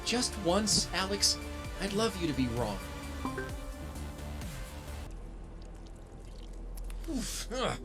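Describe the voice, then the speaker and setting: An actor playing a plucky, defiant heroic robot in a video game, with a wry, quippy manner. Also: male voice, a little high. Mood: wry, weary. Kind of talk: video game cutscene acting. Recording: loud music behind the voice.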